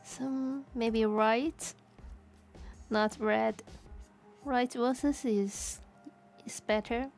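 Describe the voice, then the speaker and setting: Slow-paced Hong Kong-accented woman streamer. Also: fairly high pitch, fairly even intonation, faint music behind. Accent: Hong Kong Cantonese. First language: Cantonese. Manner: halting, searching for words, frequent restarts. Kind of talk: casual chat while drawing.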